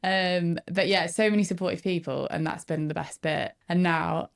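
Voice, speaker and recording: A woman's voice: on the low side, steady tone, normal speed, gravelly. A woman, chatting casually as a guest and laughing often. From northern England. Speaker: photographer guest. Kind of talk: casual podcast interview.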